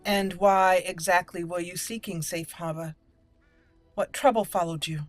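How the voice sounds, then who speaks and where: A woman's voice: lowish voice, fairly even intonation, unhurried, no room sound. Woman doing a fantasy game roleplay playthrough, reads choices out in character, faint music behind her. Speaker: let's play host. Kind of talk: roleplay gameplay narration, reading dialogue choices aloud.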